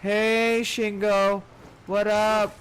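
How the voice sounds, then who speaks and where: A male game streamer talks casually in a slightly high voice. His live commentary comes in short, offhand remarks, with faint noise behind it. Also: level tone, drawn-out words, fairly bright tone.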